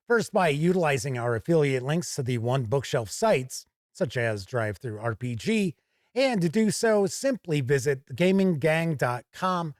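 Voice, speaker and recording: A man hosting a game review to camera, presenting in a deliberate, emphatic way from an indoor studio. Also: fairly high pitch, no echo. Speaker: host and board game reviewer.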